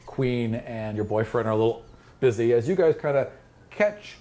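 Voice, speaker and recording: A man's voice: medium pitch, lively intonation, normal speed, warm tone. A man improvising narration as game master in a tabletop fantasy game.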